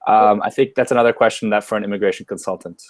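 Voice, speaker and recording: Casual male webinar host, low and dry. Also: fairly even intonation, fast, dry recording. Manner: upbeat, many fillers and restarts. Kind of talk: casual live webinar talk. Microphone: webcam or headset on a video call.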